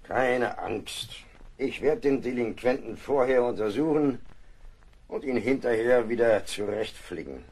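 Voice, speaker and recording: An actor playing a seasoned ship's surgeon in an audio drama, gruff, blunt and fatherly. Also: medium pitch, expressive, a little slow, a little mellow, slight background noise. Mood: reassuring. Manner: blunt, matter-of-fact, fatherly.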